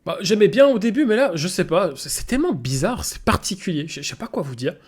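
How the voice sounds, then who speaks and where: Excitable man reacting to a cartoon. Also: high-pitched, quick pace, slightly rough. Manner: excitable, rambling, exclaims often. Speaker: streamer. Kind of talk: casual reaction commentary. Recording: cartoon soundtrack music behind.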